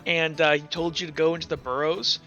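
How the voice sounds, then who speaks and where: A man working as game master, casually recapping a tabletop game in a halting way, stumbling over his words. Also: fairly high pitch, flat delivery, sharp tone, lo-fi sound, soft background music.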